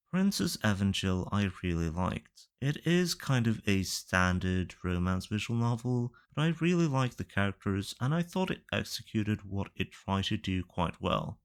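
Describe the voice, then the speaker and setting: A man giving casual opinion commentary through a ranked list in a matter-of-fact, measured way. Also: fairly low pitch. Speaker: video reviewer.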